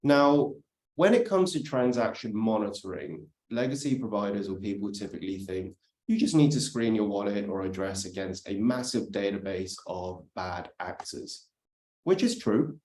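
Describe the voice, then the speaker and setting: British man, conference speaker, explanatory delivery, slightly low voice, roomy sound. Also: moderate pace, a little mellow. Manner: explanatory, frequent "let's say" and "for instance". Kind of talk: presentation talk.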